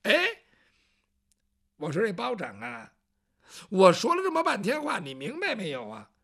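Brief: a storyteller plays a gabby, fussy old eunuch, pitch swooping everywhere. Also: huge pitch swings, moderate pace, a bit bright, exaggerated voice. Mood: chatty. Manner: talkative, nagging, teasing. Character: chatty, fussy palace eunuch, older man. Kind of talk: traditional Chinese storytelling.

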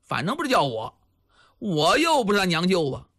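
Man acting a gruff constable chief, hearty, blunt, storytelling style, forceful tone, very dry sound. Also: high-pitched, wildly swooping pitch, moderate pace, dry recording, heavily acted. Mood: puzzled. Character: Lei Heng, gruff male county constable chief, polite but puzzled.

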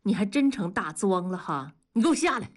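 Casting: sharp-tongued jealous wife for audiobook acted by a female narrator, scornful, goading, high and highly animated. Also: fairly quick, slightly rough. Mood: stern. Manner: scolding, interrogating, goading. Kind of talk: audiobook character dialogue.

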